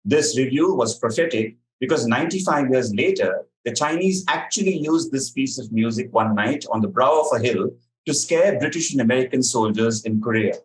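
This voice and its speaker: A man hosting a quiz, reading questions to contestants and explaining answers in a measured, articulate way. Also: mid-range voice, expressive, low-quality recording. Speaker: quiz master.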